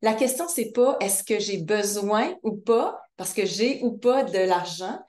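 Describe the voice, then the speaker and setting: A woman's voice: medium pitch, measured pace, darkish tone. A woman interviewing a guest, reflective and earnest as she sums up what she has heard. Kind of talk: conversational interview. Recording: echoey room.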